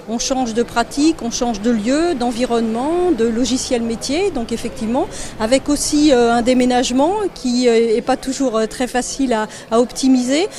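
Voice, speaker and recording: Woman official, slightly high register, unscripted explanatory interview delivery, hesitant and itemising. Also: mellow. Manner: hesitant, explanatory, listing item by item. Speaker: local government administrator being interviewed. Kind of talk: spontaneous interview answers.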